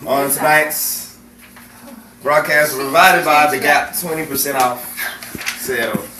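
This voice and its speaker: A man's voice: on the high side, fairly even intonation, takes it slowly, far from the mic. A man rehearsing as a radio drama actor, trading playful, joking banter and reading out lines. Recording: echoey room, off mic, faint noise.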